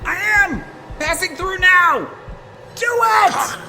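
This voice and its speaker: A woman playing an excitable, flustered but plucky young technician in a fan audio drama. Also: extremely high pitch, slow pace, vocal fry, shouting, slight background noise, full character voice. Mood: frantic, shouting.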